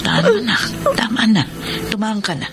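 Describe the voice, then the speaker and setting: A woman's voice: mid-range voice, huge pitch swings, moderate pace, noisy background. An actress playing a caring, firm mother in a radio melodrama, speaking with earnest emphasis as she counsels. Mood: tearful soothing. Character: caring, firm mother advising her grown daughter. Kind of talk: Filipino radio melodrama acting. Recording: dramatic music under voice.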